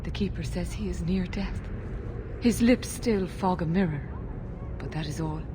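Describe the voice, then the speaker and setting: An actress playing an anxious, grieving elf mother, gentle and grateful, in a fantasy video game. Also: unhurried, natural voice. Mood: worried, sorrowful. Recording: noisy game capture with rumble.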